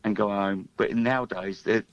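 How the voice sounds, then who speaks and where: A middle-aged man from Australia talks casually as an interview guest. His voice is fairly low and comes through slightly muffled. He rambles and repeats phrases for emphasis. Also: steady tone, normal speed. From grew up in Australia. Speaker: musician guest. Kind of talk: casual chat.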